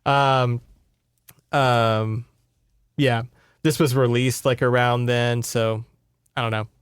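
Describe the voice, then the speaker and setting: A man's voice: mid-range voice, level tone, slow pace, clean tone, no room sound, clean studio sound. A man hosting a podcast, casually recapping a story with sarcastic, exasperated, sweary asides. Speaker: podcast host. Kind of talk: casual recap of a horror novel.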